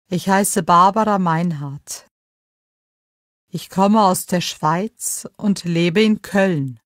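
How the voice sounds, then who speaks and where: An actress playing an adult woman introducing herself, speaking slowly and clearly for a textbook recording. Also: medium pitch, no echo, clean studio sound. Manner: clear, slow and careful.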